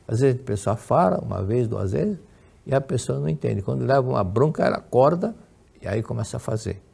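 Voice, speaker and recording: A man's voice: medium pitch, expressive, brisk, vocal fry, warm tone, slightly rough. An older man with a Brazilian Portuguese accent, giving a religious lesson, telling stories and answering questions, often repeating and restarting words. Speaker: religious lecturer. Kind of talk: religious teaching with Q&A.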